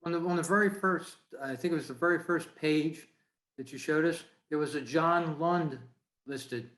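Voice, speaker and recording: A man's voice: a little high, moderate pace, a bit bright. A man casually asking questions as a club member on an echoey video call.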